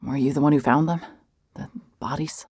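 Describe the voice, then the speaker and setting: A woman's voice: low voice, steady tone, moderate pace, darkish tone, breathy edge, no room sound, studio quality. An actress playing a hard-hearted, guarded female private investigator, sardonic but shaken, in a mystery horror audio drama. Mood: startled.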